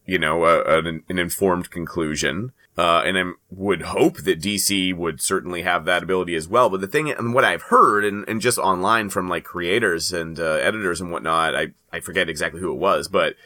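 A man with a very deep voice that still sounds bright and forceful. He chats casually as a podcast co-host, rambling through his thoughts, circling back and qualifying what he says. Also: normal speed, few pauses. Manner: rambling, hedging, self-interrupting. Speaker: comics news podcast co-host. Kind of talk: casual panel chat.

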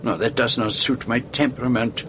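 An actor playing a mature, reflective Belgian detective in a radio drama, with a French-speaking Belgian accent and formal, deliberate phrasing. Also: male voice, medium pitch, fairly even intonation, lightly acted. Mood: courteous, probing. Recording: noisy vehicle ambience behind dialogue.

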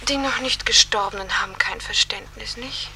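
An actress playing a wistful, restless young farm wife newly dead, in a radio drama. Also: female voice, mid-range voice, moderate pace, sharp tone, a little hiss or hum. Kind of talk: theatrical radio drama acting.

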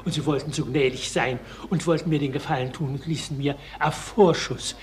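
Man playing a meek, begging weaver, fairly high-voiced. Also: steady tone, slight background noise, close to an everyday voice. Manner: deferential, halting, pleading. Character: meek, servile poor weaver begging for leniency. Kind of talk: German radio play acting.

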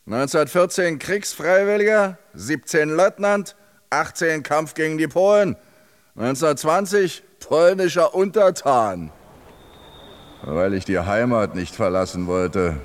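Actor as curt ex-lieutenant in reverberant space. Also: male voice, on the high side, measured pace, lightly acted. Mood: curt, defiant. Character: hardened male ex-lieutenant and militia fighter. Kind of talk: radio drama acting.

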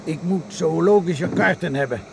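Radio drama role: kindly muddled older man on a journey, creaky voice pitched a bit high, clearly noisy recording. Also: normal speed. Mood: helpful, muddled. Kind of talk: radio play acting.

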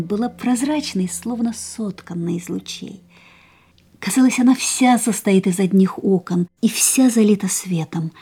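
An actress in a radio play playing an ailing, dreamy young woman who is hungry for life, speaking softly and breathily in lyrical phrases. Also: mid-range voice, lightly acted. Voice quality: soft, breathy. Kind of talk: literary radio drama acting, lyrical monologue and dialogue.

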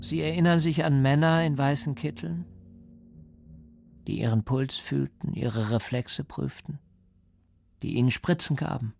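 A man playing a calm, controlling interrogator in a radio drama, putting measured, cold questions. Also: mid-range voice, creaky, slight background noise. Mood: cold control. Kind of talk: German radio drama acting.

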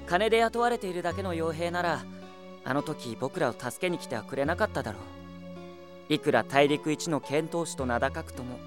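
A man playing a gentle, reluctant sixteen-year-old prince in an anime audio drama, soft and restrained. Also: on the high side, expressive, fairly quick, music in the background, natural voice.

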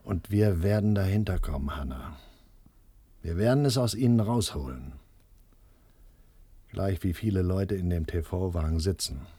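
A man's voice: low voice, expressive, measured pace, pauses often, dark tone, breathy edge, dry recording, heavily acted. A man playing a determined, rational and militant adult son in a radio play, explaining things insistently and matter-of-factly. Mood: grimly determined.

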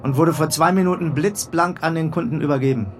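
Actor as a flippant, higher-pitched workshop man. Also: brisk, close to an everyday voice. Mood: wary, flippant. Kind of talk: radio drama acting. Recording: faint ambient noise.

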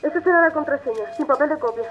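An actress playing an urgent telegraph operator in a radio drama, clipped radio talk. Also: female voice, sits high, fast, a bit bright.

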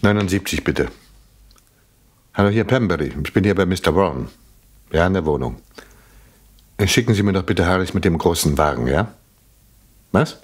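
Low-voiced, shrewd police inspector. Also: male voice, lively intonation, slightly rough. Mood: businesslike. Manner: measured, polite, drily insinuating. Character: shrewd, courteous, probing male British police inspector. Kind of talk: German radio crime drama acting.

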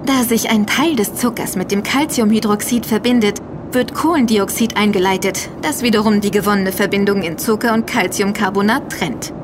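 A woman's voice: medium pitch, moderate pace, background noise, natural voice. An actress playing an informed, inquisitive woman who speaks in an explanatory way in a scripted audio drama. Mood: matter-of-fact.

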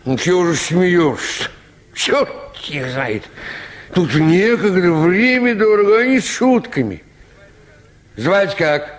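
An actor playing a grumpy, slovenly, officious village medical orderly in a Russian radio play, irritable, curt and bossy. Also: male voice, sits high, big pitch swings, slow, full character voice. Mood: impatient.

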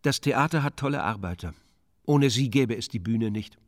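An actor in a radio drama delivering a scripted monologue as a measured, sardonic commentator. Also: male voice, medium pitch, expressive, dry recording, clean studio sound, lightly acted. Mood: dry, sardonic. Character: dry, sardonic commentator on the theatre.

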